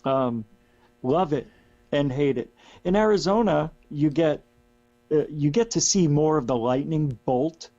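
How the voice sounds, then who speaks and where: A middle-aged man, hoarse and losing his voice, chats as a guest on a radio show and rambles with many restarts. His voice sits a touch high, with a gravelly, mellow tone. He comes through a dull, lo-fi line with a steady mains hum underneath. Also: unhurried. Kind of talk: casual radio chat.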